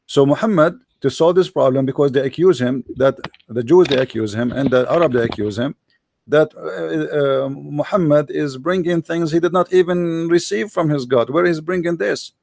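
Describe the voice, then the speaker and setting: A man's voice: fairly high pitch, normal speed, gravelly, a little hiss or hum. A man with an Arabic accent arguing hard in a religious debate, quoting sources and pressing his opponent. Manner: forceful, argumentative, quotes sources. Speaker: debate host.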